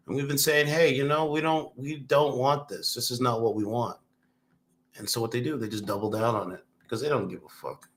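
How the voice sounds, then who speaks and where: A man's voice: mid-range voice, a bit bright. A man hosting a live stream, reacting casually to clips and commenting. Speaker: streamer host.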